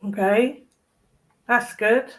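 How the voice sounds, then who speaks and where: A woman's voice: a little high, fairly even intonation, very slow, slight background noise, low-quality recording. A British woman chatting casually as a co-host, with a blunt, opinionated way of reacting. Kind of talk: casual chat, brief reactions.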